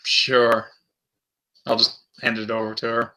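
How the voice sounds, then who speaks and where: A man's voice: mid-range voice, flat delivery, a little slow, sharp tone. A man playing a tabletop role-playing game, chatting casually and describing what his character does.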